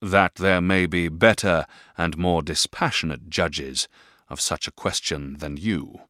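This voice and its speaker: A man's voice: low voice, a little slow, a bit bright, no echo, studio quality. A man voicing a stern, domineering middle-aged stepfather who speaks in a grave, clipped, commanding way in an audiobook reading of a classic novel.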